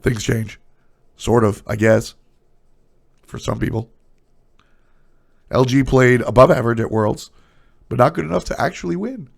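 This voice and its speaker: A man's voice: mid-range voice, unhurried, creaky, long pauses. A man with a stuffy, nasal voice streaming casual, blunt opinions on esports, trailing off into asides.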